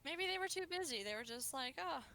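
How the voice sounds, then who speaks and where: A woman's voice: high voice, animated, a little fast, bright, forceful tone, slight background noise. A woman chatting casually as a co-commentator on a game stream. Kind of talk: casual chat.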